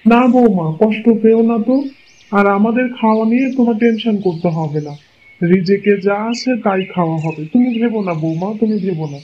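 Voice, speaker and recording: Cartoon actor as gentle, resigned village elder; high male voice, reassuring and plain-spoken, muffled noisy track. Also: a little fast, close to an everyday voice. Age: older. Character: patient, kindly old village father-in-law, gently resigned. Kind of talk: cartoon fairy-tale voice acting. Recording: muffled lo-fi audio, clear background noise.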